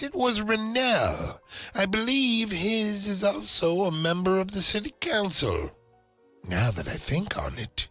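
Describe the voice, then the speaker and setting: An actor playing an obliging, gossipy cemetery keeper in a game cutscene, with a theatrical, musing, drawn-out delivery. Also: male voice, high voice, a bit bright, full character voice. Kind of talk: dramatic video game cutscene acting.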